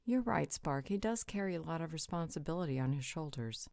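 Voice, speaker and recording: A woman plays a sassy yet caring female pack member in an audio roleplay. Her voice sits low, and the sound is close and dry. She moves between teasing and reassurance. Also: expressive, brisk, vocal fry, a little mellow, dry recording. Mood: gentle, sincere. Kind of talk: roleplay audio drama acting.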